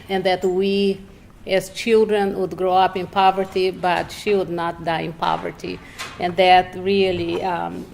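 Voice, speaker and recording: A woman from Brazil, speaking in a measured, earnest way as a reflective panelist at an indoor public event. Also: fairly low pitch. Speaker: panel speaker, state health agency worker. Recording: clearly noisy room ambience.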